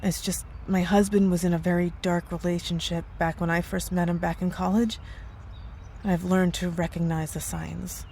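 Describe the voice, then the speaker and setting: A woman's voice: lowish voice, flat delivery, moderate pace, mellow, slight background noise, lightly acted. A woman playing a concerned coworker in an ASMR roleplay, speaking earnestly, hesitantly and with care. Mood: earnest, worried. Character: worried, caring married female coworker, hesitant and earnest. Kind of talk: ASMR roleplay audio drama.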